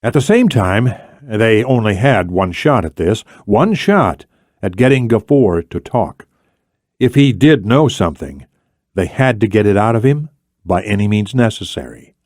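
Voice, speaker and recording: A man narrates a thriller audiobook from a script. His voice sits fairly low, and the recording is very dry. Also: animated, a little slow, a little mellow, no echo.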